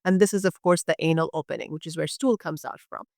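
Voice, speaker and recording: A woman's voice: deep voice, steady tone, quick pace, a little mellow, no echo. Woman doctor from bangladesh, earnest and passionate, talks it through with lots of you knows, dry close sound. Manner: earnest, passionate, many fillers. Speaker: urogynecologist guest. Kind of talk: conversational medical explanation.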